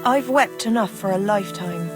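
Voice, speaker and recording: A woman's voice: medium pitch, moderate pace, music in the background, lightly acted. A woman playing a stoic, world-weary widow in a video game cutscene, plain-spoken and resigned.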